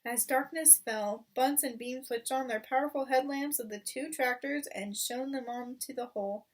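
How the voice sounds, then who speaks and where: A woman narrates a children's book in a read-aloud style. Her voice is on the high side. It sounds slightly distant from the microphone. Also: moderate pace. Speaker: storybook reader.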